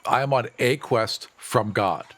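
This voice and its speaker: Male game player, average-pitched voice, relaxed conversational delivery and dry quips. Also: unhurried. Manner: wry, quipping. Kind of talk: casual game table banter.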